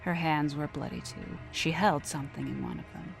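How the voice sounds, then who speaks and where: Low-voiced woman narrating a horror story. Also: moderate pace, vocal fry. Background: background music.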